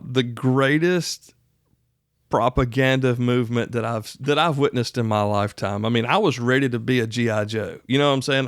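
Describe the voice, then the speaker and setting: A man's voice: medium pitch, clean tone, no room sound, studio quality. American male host, forties, folksy and conversational, teaching informally, very little room sound. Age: 40s. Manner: conversational, folksy, addresses the listener directly. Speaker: host and instructor of a prepper radio show. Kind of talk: casual instructional talk.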